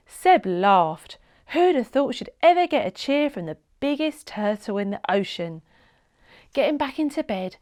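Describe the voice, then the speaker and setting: A woman reading a children's storybook aloud as an expressive read-along narrator, with dramatic emphasis. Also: on the high side.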